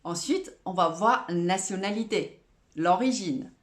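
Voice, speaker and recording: A woman giving a scripted language lesson as a teacher, in a didactic, deliberate way, slowly enunciating repeated example phrases. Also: medium pitch, loud music behind the voice. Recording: roomy, echoing voice recording.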